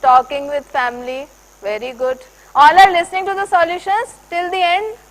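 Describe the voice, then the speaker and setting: Indian woman running a workshop, high voice, keeps asking the room questions. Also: steady tone, normal speed, low-quality recording. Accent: Indian English. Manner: switches between English and Hindi, quizzes the audience. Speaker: workshop trainer. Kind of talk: interactive classroom teaching. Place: large lecture hall.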